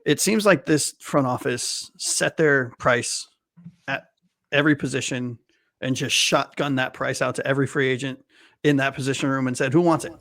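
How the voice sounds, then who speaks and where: A man's voice: mid-range voice, moderate pace, a bit bright, no room sound, studio quality. A man from Miami in his late thirties, co-hosting a casual podcast chat in a chatty, rambling way with frequent "right?". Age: late 30s.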